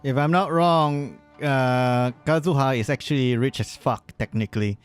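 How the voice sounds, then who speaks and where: A man's voice: medium pitch, moderate pace, smooth, soft background music. Casual man rambling on a game stream. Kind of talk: casual live gaming commentary.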